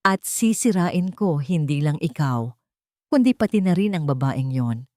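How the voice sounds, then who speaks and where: A woman voicing a cold, scheming wealthy wife who talks in a sharp, sneering, threatening way. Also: deep voice, lively intonation, unhurried, dry recording, clean studio sound. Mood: menacing.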